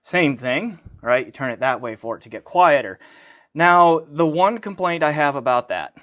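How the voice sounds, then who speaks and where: A man's voice: fairly high pitch, animated, moderate pace, fairly bright tone. An American man giving a casual hands-on product review, rambling a little and correcting himself as he goes. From United States.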